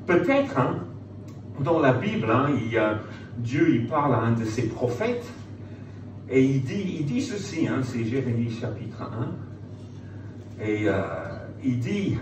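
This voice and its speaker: Animated male preacher in an echoey room. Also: medium pitch, slow. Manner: conversational, rhetorical questions, emphatic repetition. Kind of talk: informal motivational sermon to young listeners. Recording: echoey room, distant mic, noticeable background noise.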